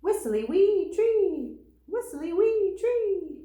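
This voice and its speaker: A woman acts out little storybook birds, calling out in high, repetitive sing-song notes. The calls swoop brightly in a roomy, echoing space. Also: sing-song, extremely slow, exaggerated voice.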